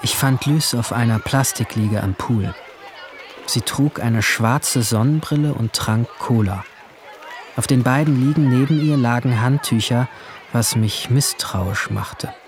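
A man's voice: medium pitch, unhurried, crowd noise, noisy background, close to an everyday voice. A man playing an anguished, withdrawn seventeen-year-old boy, narrating a radio drama in a subdued, introspective, literary first person. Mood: bleak, detached. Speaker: narrator and main character.

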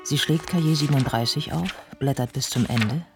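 An actor playing a sober male narrator in a radio drama, reading scripted narration in a measured way. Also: mid-range voice, music in the background, lightly acted.

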